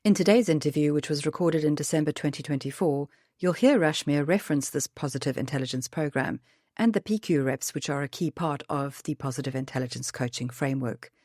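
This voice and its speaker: Woman host with a slightly gravelly voice. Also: low voice, brisk, darkish tone, no echo, studio quality. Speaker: podcast host. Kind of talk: scripted podcast intro.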